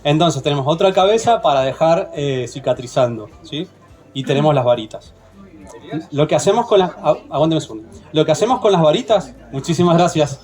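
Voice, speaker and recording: A man's voice: a little high, expressive, fairly quick, a little mellow, a faint crowd. A man with an Argentine accent leading a hands-on plant propagation workshop, patient and often checking in with the group. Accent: Argentine Spanish. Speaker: workshop instructor.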